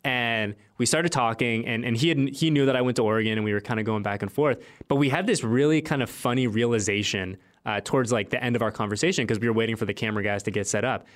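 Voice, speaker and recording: A man's voice: medium pitch, fast, hardly any pauses. A young American man hosting a sports podcast, chatting casually in informal, slangy language. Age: late twenties to thirties. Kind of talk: casual interview chat.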